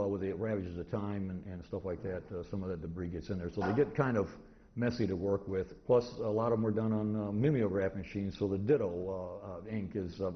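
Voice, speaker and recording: Low-voiced American man giving a halting talk. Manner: halting, methodical, anecdotal. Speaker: presenter, old-time radio collector. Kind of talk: informal convention lecture. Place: convention presentation room. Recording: dull, noisy live room recording.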